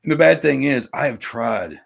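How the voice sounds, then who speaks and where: A man's voice: mid-range voice, animated, moderate pace, vocal fry, slightly rough. A man talking casually as a podcast guest, rambling and swearing, with many stammered restarts. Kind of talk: casual chat.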